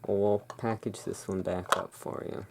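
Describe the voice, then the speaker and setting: A soft-spoken ASMR performer playing the relaxed owner of a gothic curiosity shop in a soothing, unhurried manner. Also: low-pitched, vocal fry, heavy background noise.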